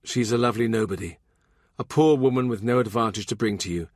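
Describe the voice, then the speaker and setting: A man's voice: on the low side, fast talker, no room sound, studio quality, natural voice. A man voicing a shrewd middle-aged royal minister, blunt and wry, in audiobook dialogue. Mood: exasperated.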